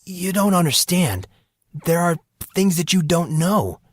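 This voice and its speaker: A man's voice: sits high, very animated, a little slow, slightly rough, dry recording, clean studio sound, voice pushed up, full character voice. A man voicing a defensive, exasperated fourteen-year-old boy with an earnest, evasive manner in an audiobook. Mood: earnest, guarded. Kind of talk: audiobook character voice.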